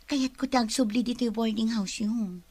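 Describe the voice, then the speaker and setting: High-voiced young Filipino woman, evasive as an actress plays her. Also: moderate pace, darkish tone, natural voice. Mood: coaxing. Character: evasive young mother denying she gave birth. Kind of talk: radio drama acting.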